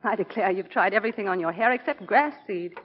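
A woman's voice: medium pitch, lively intonation, quick pace, slight background noise, close to an everyday voice. An actress playing a long-suffering, wry young wife and mother in 1940s radio style, dry and teasing. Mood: wry, scolding. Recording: muffled 1940 radio broadcast.

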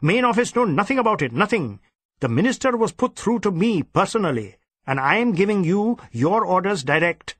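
An irascible Indian police chief, acted out by a male audiobook reader, cold and overbearing. His voice rides high and swings widely as he barks and repeats himself. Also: very animated, moderate pace, fairly bright tone, dry recording, exaggerated voice. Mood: indignant. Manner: snapping, barking, repeats himself impatiently. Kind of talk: audiobook character acting.

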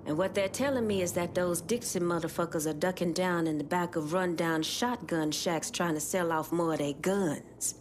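An actress playing a composed, knowing Vodou shopkeeper who leads an underground resistance in a video game, speaking in a measured, conspiratorial way. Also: female voice, on the low side, slight background noise, close to an everyday voice. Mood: sly, measured. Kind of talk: video game character dialogue.

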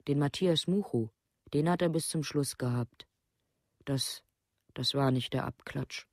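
A woman's voice: rumbling low, unhurried, darkish tone, dry recording, clean studio sound, heavily acted. An actress playing an embittered, plain-spoken village mother in a documentary radio play, blunt and repetitive. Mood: resigned, guarded.

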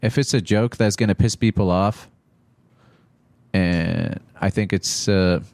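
Iraq-raised guy on a podcast, lowish voice, stops and restarts a lot, swears, dead dry mic. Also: gravelly, darkish tone, no room sound. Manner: halting, many restarts and fillers, blunt and profane. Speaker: stand-up comedian guest. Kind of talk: casual podcast chat. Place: small studio with textured walls. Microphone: desk mic on stand, headphones.

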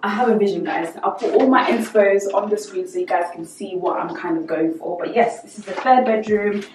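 A woman's voice: medium pitch, fairly quick, a little hiss or hum. A young woman giving a casual, chatty house tour straight to her viewers, walking through empty rooms. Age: young adult. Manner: chatty, addressing viewers directly. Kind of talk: casual vlog walkthrough. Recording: echoey empty rooms, distant mic, music.

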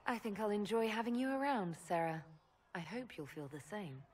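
An actress playing a composed elf woman leader in a video game, speaking in a measured, direct and dryly wry way. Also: medium pitch, expressive, darkish tone, big-room sound, close to an everyday voice. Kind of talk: video game character acting.

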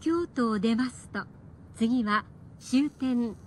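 A woman's voice: a little high, takes it slowly, darkish tone. A woman reading a scripted, recorded train announcement played through the carriage speaker. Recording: train speaker with faint noise.

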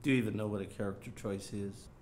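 A man's voice: lowish voice, steady tone, fast talker, a little mellow, slight background noise, close to an everyday voice. An actor playing a pompous, tyrannical film director, theatrical and condescending as he barks orders in a comedy.

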